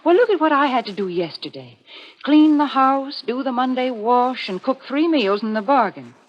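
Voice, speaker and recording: A woman's voice: high-pitched, lively intonation, moderate pace. A woman in 1940s radio advertising style, bantering as a homey, mildly scolding spokeswoman. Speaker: commercial spokeswoman. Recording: dull old radio recording.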